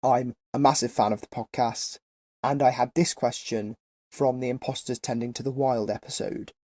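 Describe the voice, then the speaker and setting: Teen male listener, polite and scripted question, mellow voice, dry recording. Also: medium pitch, fairly even intonation, moderate pace, no echo. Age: teens.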